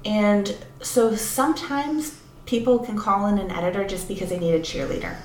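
A woman's voice: medium pitch, normal speed, a little mellow. A woman co-hosting a casual podcast as an editor, explaining things in a thoughtful way. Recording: echoey room with background noise.

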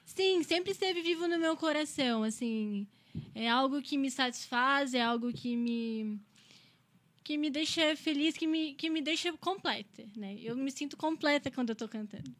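A woman's voice: high-pitched, moderate pace, fairly bright tone. A woman in her twenties from Brazil, a singer chatting casually as an interview guest, often ending phrases with a questioning tag. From Criciúma, Santa Catarina, Brazil. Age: 20s.

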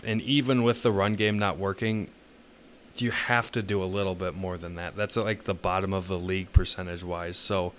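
A man co-hosting a sports podcast, giving measured, opinionated analysis in a casual, explanatory way. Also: lowish voice, fairly bright tone, a little hiss or hum.

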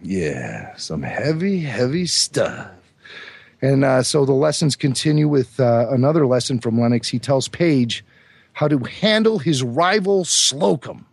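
Hoarse man with a lively voice. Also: mid-range voice, unhurried. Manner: chatty, enthusiastic, fond of trivia. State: hoarse from a cold. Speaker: podcast co-host. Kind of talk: casual chat.

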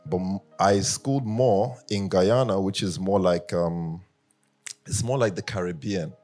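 A man from Nigeria preaching a sermon, telling humorous personal stories in a lively way that rises into sing-song emphasis. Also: low voice, a little slow, soft background music. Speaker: pastor.

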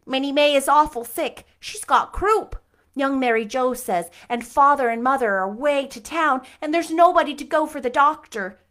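A scared young girl, as a woman reading a story puts her on, high and breathless, pleading through near tears. Her voice sits well above the reader's own. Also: normal speed, fairly bright tone. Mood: frightened. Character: frightened young girl, the friend. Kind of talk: audiobook character voice.

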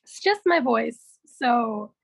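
A young woman from Canada with a naturally raspy voice, a musician guest talking casually and with animation. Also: a little high, takes it slowly. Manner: animated storytelling, laughs often, swears. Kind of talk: casual chat.

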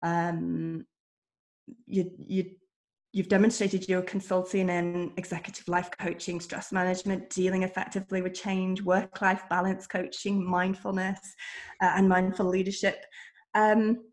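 A woman's voice: on the low side, level tone, a little slow. A woman hosting an interview, introducing her guest's credentials in a friendly, admiring way. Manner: friendly, admiring, laughs easily. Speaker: interview host.

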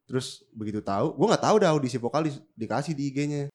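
A man with a medium-pitched voice that sounds a little blocked up from a cold. He speaks in a Jakarta Indonesian accent, slangy and joking, as a podcast co-host in loose conversation. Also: fast, slight background noise. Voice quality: congested, slightly nasal from a recent cold. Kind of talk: casual bantering chat.

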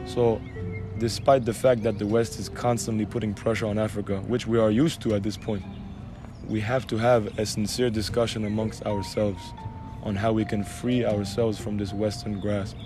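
A man's voice: on the low side, little pitch movement, normal speed, music in the background. A man from Algeria, a guest, speaking in an assertive, emphatic, speech-like way. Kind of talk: conversational interview.

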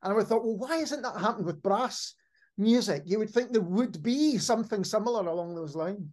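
A man with a notably high-pitched voice interviews a guest as a podcast host. His delivery is casual and conversational, musing aloud as he builds up his points. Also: a little fast, darkish tone.

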